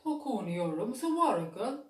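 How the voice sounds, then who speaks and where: A woman's voice: fairly high pitch, big pitch swings, measured pace. An Irish woman voicing a small, sweet, earnest lost male dog in a children's storybook. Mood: earnest, fond. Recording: echoey room, distant microphone.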